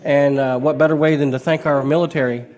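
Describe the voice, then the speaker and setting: A man with a somewhat raised voice, hoarse and a little rough from a summer cold. He talks in a friendly, chatty way as a guest, laughing easily between explanations. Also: a little high, steady tone, fast talker, crowd noise. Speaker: guest from a local historical society. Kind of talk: casual radio interview.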